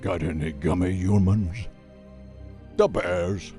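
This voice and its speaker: A man voicing a gruff, goofy, bearish druid in a video game, with hammy delivery. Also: low voice, slow pace, loud music behind the voice. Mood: gruff, joking. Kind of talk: video game unit barks.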